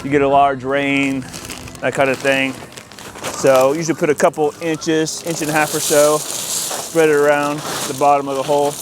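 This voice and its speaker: Higher-voiced man explaining casually, outdoor noise. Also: level tone, moderate pace. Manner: informal, hands-on explaining. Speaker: host of an installer's video channel. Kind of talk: casual explanatory walkthrough to camera. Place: outdoors in a yard. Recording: outdoor noise, ambient rumble.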